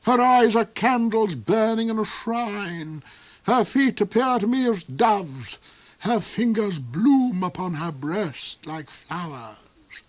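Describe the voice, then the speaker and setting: A man voicing a cold, cruel duke in a storybook reading, with shrill, theatrical outbursts. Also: expressive, slow, low-quality recording. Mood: rhapsodic.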